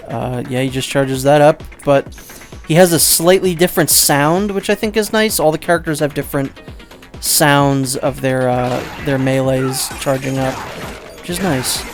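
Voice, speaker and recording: A man giving casual, rambling let's play commentary, second-guessing himself out loud and swearing offhandedly. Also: medium pitch, moderate pace, soft background music.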